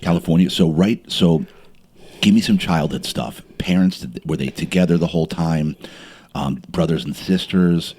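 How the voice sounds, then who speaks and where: A man from New Jersey hosting a casual interview podcast, excitable and chatty, with lots of ums and repeated words. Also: sits low, normal speed, husky. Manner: animated, excitable, repeats words, many fillers. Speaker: podcast host. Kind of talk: casual interview chat with sponsor plug.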